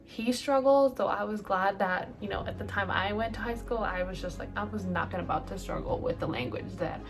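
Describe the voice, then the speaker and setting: A woman's voice: medium pitch, fairly quick. Chatty woman rambling over background music. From El Salvador. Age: late 20s. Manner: chatty and rambling, full of ums and likes. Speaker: beauty vlogger. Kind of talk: casual get-ready-with-me Q&A chat.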